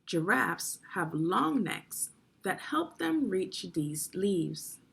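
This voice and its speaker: A woman's voice: mid-range voice, big pitch swings, very slow, gravelly, fairly bright tone, slightly rough. A woman reading children's nonfiction aloud as a teacher, instructive and putting guiding questions to young listeners. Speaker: read-along narrator and reading teacher.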